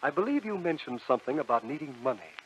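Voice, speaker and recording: An actor playing a silky, devilish stranger in a radio drama, coaxing with theatrical, mock-courteous charm. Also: male voice, mid-range voice, big pitch swings, fast, a little hiss or hum, natural voice. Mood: tempting. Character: sly, silky devilish stranger who buys shadows. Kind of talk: old-time radio drama acting. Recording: dull vintage radio recording with music.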